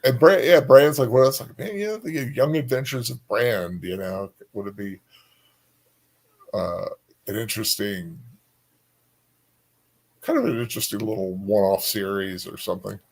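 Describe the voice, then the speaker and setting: A man's voice: lowish voice, normal speed, creaky, pauses often. A man co-hosting a casual book chat, talking loosely and informally as he thinks aloud. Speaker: co-host. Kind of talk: casual chat about books.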